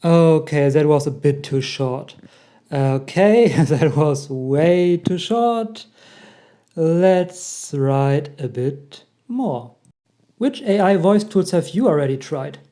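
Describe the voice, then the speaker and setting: A young man with a German accent, hosting a casual software tutorial and chuckling at the results. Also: fairly high pitch, lively intonation, slow pace. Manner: chatty, laughs often at results. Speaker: tech tutorial host. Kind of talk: casual screen-recorded tutorial.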